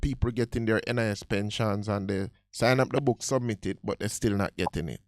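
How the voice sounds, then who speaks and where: A man's voice: on the low side, brisk, no echo. Jamaican male radio host, dry studio sound. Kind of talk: live call-in conversation.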